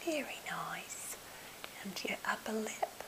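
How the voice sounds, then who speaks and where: A woman playing a timid, slightly odd captive who is eager to please, speaking in a halting, gentle, polite whisper for an ASMR role play. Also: medium pitch, expressive, low-quality recording. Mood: soothing, fussy. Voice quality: breathy whisper with fry.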